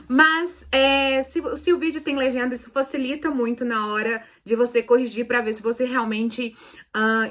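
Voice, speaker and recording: Higher-pitched Brazilian woman teaching. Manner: didactic, repeats and rephrases key points. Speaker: Spanish teacher hosting a video lesson series. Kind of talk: casual, explanatory video lesson.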